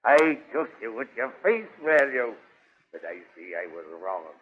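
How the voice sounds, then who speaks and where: A man's voice: medium pitch, sing-song, unhurried, breathy edge. An actor playing a boastful, lusty, cruel king of hawk people, theatrical and gloating in a 1930s radio serial. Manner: gloating, theatrical, punctuated by laughter. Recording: tinny, band-limited 1930s radio recording.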